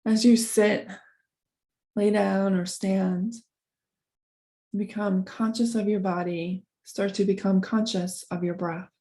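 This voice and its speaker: A woman leading a guided meditation, giving soft instructions in a calm, gentle, unhurried manner with long pauses. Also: medium pitch.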